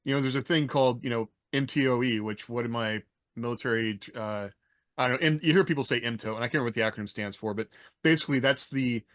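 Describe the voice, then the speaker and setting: A man's voice: mid-range voice, fast talker, a bit bright, dry recording. Explanatory man in dry, close sound. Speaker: podcast panelist, former army officer. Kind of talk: casual discussion.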